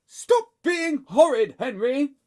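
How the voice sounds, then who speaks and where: A narrator voicing a stern, exasperated mother who yells scoldings in a children's storybook. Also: high-pitched, sing-song, takes it slowly, pitched above the performer's own voice, full character voice. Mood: scolding, yelling. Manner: scolding shouts.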